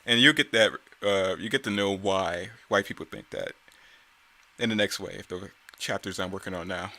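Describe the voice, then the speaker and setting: A man's voice: low voice, normal speed, bright, forceful tone. A man giving casual, off-the-cuff commentary as a creator on his own work, conversational, rambling and self-critical.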